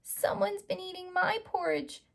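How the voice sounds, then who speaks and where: A woman voicing a teeny tiny, upset baby bear with a squeaky, plaintive voice in a children's storybook. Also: fairly even intonation, measured pace, gravelly, heavily acted. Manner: plaintive crying out.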